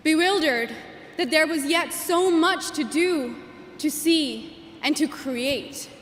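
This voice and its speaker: A young woman in her twenties whose first language is Gujarati, giving an earnest, measured commencement speech as class valedictorian at a podium microphone. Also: sits high, a bit bright, a little hiss or hum. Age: 20s. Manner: earnest, measured, with emphatic phrasing. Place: large commencement hall. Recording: echoey hall with long reverb.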